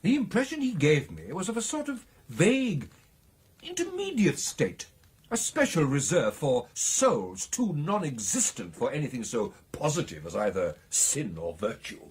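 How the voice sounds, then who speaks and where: An actor playing an excitable British club gentleman, telling his tale in a theatrical, hesitating and emphatic radio drama style with a husky voice. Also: on the high side, moderate pace, exaggerated voice. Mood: earnest, reflective. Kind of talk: dramatic storytelling in a radio play.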